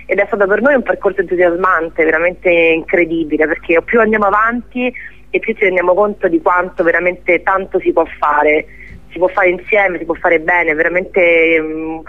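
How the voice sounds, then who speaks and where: A woman's voice: lowish voice, fairly even intonation, normal speed. A woman phoning in as an interview guest, speaking casually and effusively over a narrow telephone line. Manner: effusive, enthusiastic. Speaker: interview guest promoting a stage show. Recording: narrow tinny phone line.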